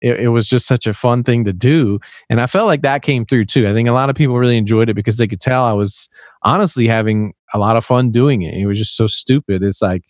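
A man's voice: mid-range voice, quick pace, no echo, clean studio sound. A man from Tennessee with a light Southern US accent, chatting as a podcast guest in a loose, rambling way full of fillers. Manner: rambling, relaxed, many fillers. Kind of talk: casual podcast conversation. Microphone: remote call.

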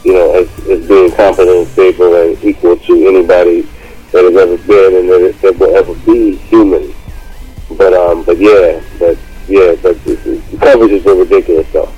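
An American man co-hosting a radio show, giving casual political commentary haltingly, often repeating himself as he searches for words. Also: deep voice, steady tone, warm tone, slightly rough, soft background music, low-quality recording.

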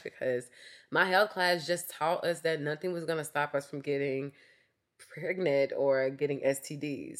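A young woman hosting a casual solo podcast, candid and chatty, her voice slightly raspy from a cough. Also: deep voice. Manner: candid, chatty, frequent fillers like "like" and "um". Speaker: podcast host.

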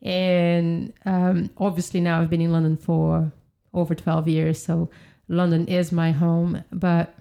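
A woman from Brazil, a podcast guest chatting casually, laughing often and filling pauses with "um". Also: on the low side, little pitch movement, vocal fry, muffled recording. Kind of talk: casual chat. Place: home in London.

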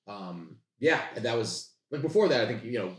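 A man's voice: medium pitch, expressive, moderate pace. A man chatting casually as a comedian guest on a podcast. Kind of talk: casual chat. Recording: roomy, echoey room.

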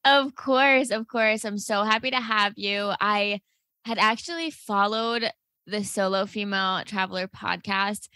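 Bright, forceful woman host, casual chatty delivery, dry close sound. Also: mid-range voice, steady tone, a little slow, no room sound. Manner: friendly, says like and you know a lot, laughs. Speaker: podcast host. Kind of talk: casual interview chat.

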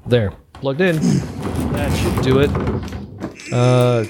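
An actor playing a nerdy, practical, enthusiastic gadget lover in an audio drama. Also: male voice, medium pitch, takes it slowly, very noisy, close to an everyday voice.

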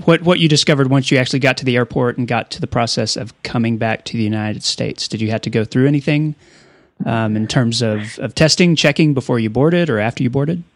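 Gravelly male interviewer. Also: medium pitch, dry recording. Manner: measured questioning with hesitations. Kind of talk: interview questions. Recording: dull, muffled dry recording.

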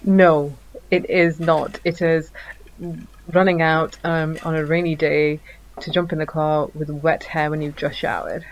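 A woman's voice: low voice, little pitch movement, a little slow, slight background noise, low-quality recording. A woman co-hosting a podcast, chatting while congested with a bad cold, earnest and prone to stumbling restarts. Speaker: podcast co-host. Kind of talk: casual chat.

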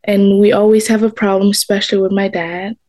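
A woman from Myanmar tells her story in English, her second language. She keeps her sentences simple and sincere, at a middle pitch, with small grammar slips. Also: level tone, brisk, darkish tone. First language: not English. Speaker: teacher and former student.

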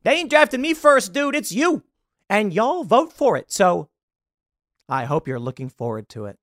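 A man's voice: sits high, big pitch swings, normal speed, a bit bright, dry recording, studio quality. A man in his late thirties hosting political commentary, reacting to a video with sarcastic, mocking remarks. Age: 30s.

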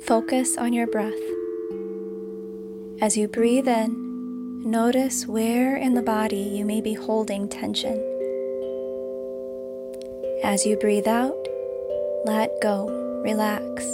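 Female meditation guide, calm and soothing, very unhurried delivery, slightly high voice. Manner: calm, soothing, deliberate phrasing. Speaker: meditation guide and podcast host. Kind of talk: guided meditation, scripted narration. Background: music behind the voice.

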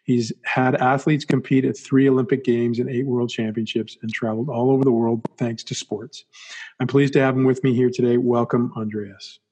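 A man hosts an interview and introduces his guest. His voice stays flat and even, with a dark, relaxed tone. His questions wander and restart before he gets to the point. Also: mid-range voice, breathy edge, rough recording. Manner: rambling, halting questions. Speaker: podcast host.

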